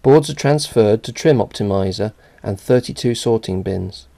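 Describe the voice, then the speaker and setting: A man reading a scripted narration for a company video in an even, measured way. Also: lowish voice, vocal fry.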